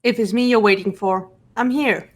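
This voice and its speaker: A woman playing a calm, self-possessed teenage girl in a fan-dubbed game. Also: fairly high pitch, moderate pace, natural voice. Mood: calm defiance. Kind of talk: fan-dubbed visual novel game acting.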